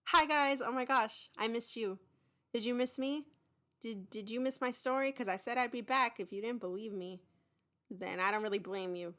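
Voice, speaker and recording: A young woman hosting her own podcast, talking casually and excitedly in a rambling, informal way. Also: on the high side, moderate pace. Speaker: podcast creator and host. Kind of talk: casual, chatty podcast announcement.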